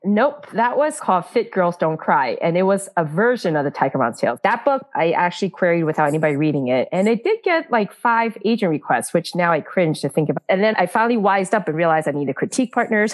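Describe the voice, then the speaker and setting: Woman, from Taiwan, author being interviewed, low voice, chatty, fillers. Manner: chatty, frequent fillers and laughs. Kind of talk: casual interview answers.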